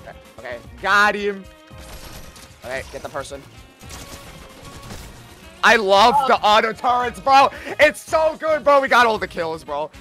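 A man's voice: high voice, sing-song, a little slow, long pauses, sharp tone, soft background music, full character voice. A man voicing a brash, bossy, foul-mouthed hedgehog antihero while bantering over a video game. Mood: fired up. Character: cocky, foul-mouthed hedgehog Shadow, parody of the brooding antihero. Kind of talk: gaming commentary with role-played banter.

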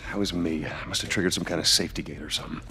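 An actor playing a gruff, terse middle-aged survivor with a Southern American accent in a video game. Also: male voice, extremely low pitch, fairly even intonation, rapid-fire, heavily acted. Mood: gruff, focused. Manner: terse, commanding. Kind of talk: video game cinematic acting. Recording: game audio with loud gunfire, clatter.

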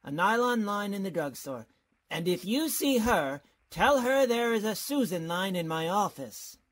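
An actor playing a flustered, put-upon college dean with fussy, sardonic delivery in an old-time radio comedy. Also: male voice, high voice, lively intonation, unhurried, noisy background.

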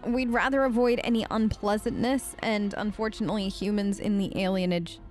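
A woman's voice: medium pitch, steady tone, brisk, a little mellow, faint music behind. A woman hosting a game let's play, improvising in character in a casual, polite and hesitant way. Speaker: gaming video host.

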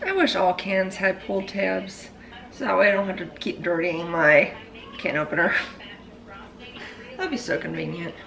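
A woman in her thirties chatting casually about what she's cooking in her home kitchen, relaxed and self-deprecating. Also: on the low side, moderate pace, vocal fry, long pauses, soft background music. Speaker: home vlogger. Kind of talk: casual voice-over chat while cooking.

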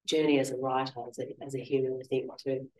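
A woman with an Australian accent and a soft, quiet voice, speaking gently and reflectively about her writing, with many small ums. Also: a bass voice, flat delivery, quick pace. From Western Australia. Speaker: author reading and in conversation. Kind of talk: literary conversation and reading intro. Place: lecture room, seated on a small stage. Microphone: handheld mic held at chest. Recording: roomy lecture hall echo.

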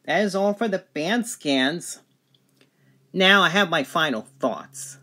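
A man's voice: high voice, lively intonation, slow pace, pushed and bright. A man giving a casual, plain-spoken product review in short, simple statements. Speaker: gadget reviewer.

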